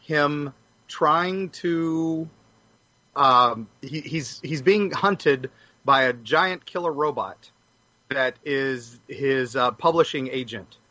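A man on a podcast panel telling a story casually in a halting, stop-start way. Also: medium pitch, sharp tone, dry recording, highs cut off. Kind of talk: casual fan discussion.

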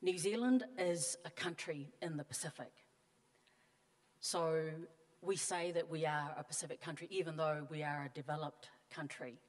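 A middle-aged woman from Tonga, giving a measured, earnest panel speech on stage into a handheld mic. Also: sits low. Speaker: panelist, member of parliament. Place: large conference hall on stage. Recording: long hall reverb.

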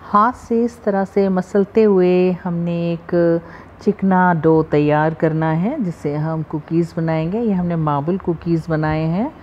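A woman hosting a cooking channel, calmly talking through the steps of a recipe in her home kitchen, recorded on a phone. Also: on the low side, a little slow, dull audio, a little hiss or hum. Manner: calm, instructive, repeats steps. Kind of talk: step-by-step recipe voice-over.

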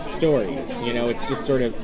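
A man's voice: mid-range voice, expressive, normal speed. An American man in his thirties, a comic artist, talking casually in an interview across a table. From United States. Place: at a table by a shop window at a busy comics festival. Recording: busy public space with music and chatter. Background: loud crowd chatter and music.

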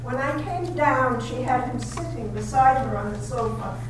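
Radio-play casting: woman as shy young paid companion, lightly high voice, demure and polite, distant in a noisy echoing room. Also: normal speed, close to an everyday voice. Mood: wistful. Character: timid, polite young lady's companion, wistfully narrating. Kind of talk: 1930s radio drama acting and narration. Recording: very echoey hall, distant, noisy.

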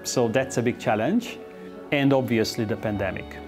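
A man's voice: medium pitch, moderate pace, over music. Middle-aged man, earnest. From Hungary. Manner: earnest, upbeat, with small restarts. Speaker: interviewee, Olympic organizing expert. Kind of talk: relaxed interview talk. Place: indoor office. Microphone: lapel mic.

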